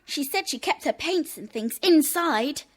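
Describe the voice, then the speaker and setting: A woman's voice: high-pitched, expressive, unhurried. A woman playing a cheeky, stubborn young girl in a children's audio drama, teasing and smug with emphatic delivery. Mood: boastful.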